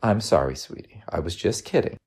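A man voicing a gentle, teasing working mother in a storybook reading, coaxing and reassuring. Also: sits low, lively intonation, fairly quick, slightly rough, heavily acted. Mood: soft, apologetic.

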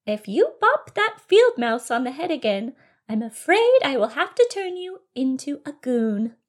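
A woman voicing a kindly but firm good fairy in a storybook, with a sing-song, gently scolding delivery. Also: high-pitched, big pitch swings, measured pace, pitched above the performer's own voice. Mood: firm warning.

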